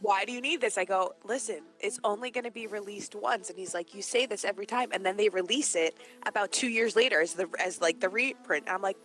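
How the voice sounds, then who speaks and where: A woman's voice: medium pitch, fairly quick, few pauses, fairly bright tone. A woman in casual conversation as a co-host, playful and teasing in the way she talks. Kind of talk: casual chat. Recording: music playing behind the voice. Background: music.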